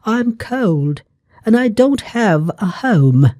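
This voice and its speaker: A storyteller voicing a tiny young fairy tale girl, slow, plaintive and pleading. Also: mid-range voice, very animated, darkish tone, dry recording, clean studio sound, pitched above the performer's own voice.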